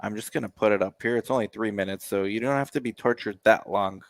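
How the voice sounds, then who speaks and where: Low-voiced, joking guy. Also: quick pace, dry recording. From United States. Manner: joking, sarcastic, rambling. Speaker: co-host reacting to music. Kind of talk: casual reaction chat.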